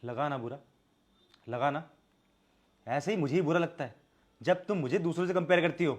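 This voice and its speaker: A man's voice: on the high side, moderate pace, close to an everyday voice. A young man with an Indian accent acting a stubborn, fault-finding but earnest husband in a domestic drama. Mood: hurt, challenging. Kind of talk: scripted domestic drama acting in Hindi with some English.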